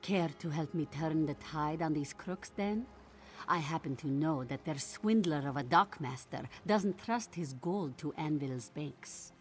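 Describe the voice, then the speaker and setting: A woman's voice: low voice, unhurried, faint music behind. A woman voicing a defiant, scheming ship captain in a video game, with a sardonic, colourful manner. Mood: conspiratorial. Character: wry, scheming female ship captain, hard-drinking and defiant. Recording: noisy ambient background.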